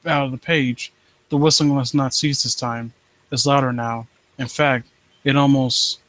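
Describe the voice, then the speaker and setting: A man hosting a live stream, reading and commenting on stories in a halting, rambling way and often rephrasing himself. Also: medium pitch, a bit bright, low-quality recording. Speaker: live stream host reading and critiquing amateur horror stories. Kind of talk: story read-aloud and casual commentary.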